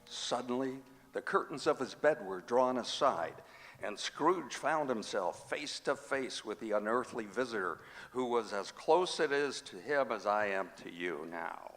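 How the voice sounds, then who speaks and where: Guy as solemn storyteller for a radio play, mid-pitched voice, reverby hall. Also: animated, a little slow, fairly bright tone. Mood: measured, suspenseful. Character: male storyteller, measured and solemn. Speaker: narrator. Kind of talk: scripted radio drama narration.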